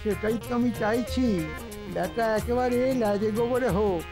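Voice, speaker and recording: A man's voice: very high-pitched, steady tone, moderate pace. An actor voicing a sly, scheming minister in a cartoon, who moans and whines as he fakes being sick. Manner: whining, moaning. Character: scheming sly minister, middle-aged man, feigning illness. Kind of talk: cartoon voice acting. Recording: studio dub with background music.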